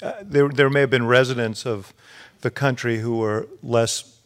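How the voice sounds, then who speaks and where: A man's voice: mid-range voice, fairly even intonation, smooth. Guy hosting a live interview, lots of uhs and restarts in his questions. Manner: halting, restarts questions with many fillers. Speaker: interviewer, podcast host. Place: university event stage with audience.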